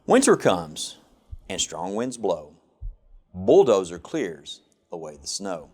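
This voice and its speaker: Male storybook reader, lower-pitched, unhurried, with expressive sing-song phrasing. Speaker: government official reading a children's picture book. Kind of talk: storybook read-aloud.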